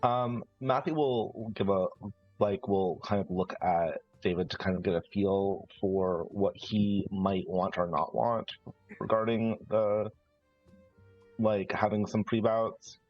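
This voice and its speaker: A man's voice: lowish voice, fairly even intonation, slow, dry recording, highs cut off, soft background music. A man playing a tabletop role-playing game, describing his character in a casual, tentative, wordy way.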